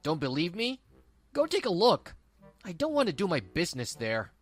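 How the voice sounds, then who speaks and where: A woman's voice: lowish voice, wildly swooping pitch, moderate pace, soft background music. A woman voicing a selfish, dismissive young husband in a manga dub, whiny and condescending.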